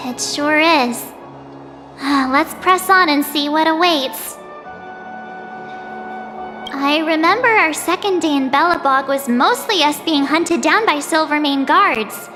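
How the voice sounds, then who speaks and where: Bubbly young game heroine, high chatty voice, music behind, big reverb. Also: female voice, takes it slowly, pauses often, exaggerated voice. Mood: uneasy, pondering. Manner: bubbly, informal, expressive with hums and sighs. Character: perky, chatty, easily spooked young woman traveler, March. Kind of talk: English video game voice acting.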